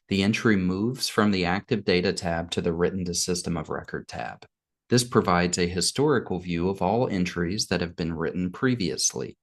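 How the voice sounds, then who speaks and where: A man narrating a scripted software demonstration in a measured, instructional way. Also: deep voice. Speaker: product demo narrator.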